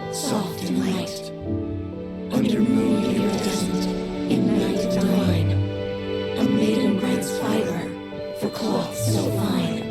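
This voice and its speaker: Actress as a slow, solemn ritual chanter. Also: female voice, medium pitch, natural voice. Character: solemn woman chanting a ritual moon verse. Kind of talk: measured verse recitation.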